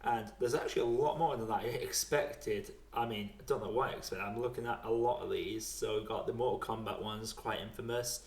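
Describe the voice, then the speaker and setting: A man's voice: fairly low pitch, fairly quick, hardly any pauses, echoey room. A man in his twenties chatting casually as a podcast host, informal and often chuckling as he talks. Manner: chuckles often while talking, informal swearing. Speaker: podcast host and film reviewer.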